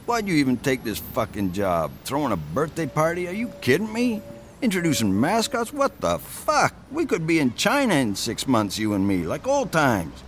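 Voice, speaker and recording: An actor playing a desperate, washed-up friend, slangy and foul-mouthed, pleading bitterly in a naturalistic audio drama. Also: male voice, fairly high pitch, huge pitch swings, normal speed, a little hiss or hum. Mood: desperate, exasperated.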